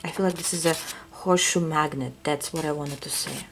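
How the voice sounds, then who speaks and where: A woman with a Russian accent, giving a soft, hesitant tarot reading that often drops to a whisper. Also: sits low, steady tone, brisk, vocal fry, soft background music. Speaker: tarot reader. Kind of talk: intuitive reading to viewers.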